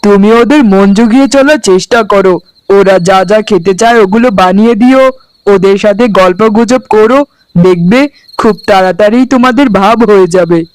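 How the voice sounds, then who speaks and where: Man acting young newlywed elder brother, cartoon, high-pitched, crisp, placating, dry recording. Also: moderate pace, dry recording, natural voice, rough recording. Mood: soothing. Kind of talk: Bengali cartoon dialogue acting.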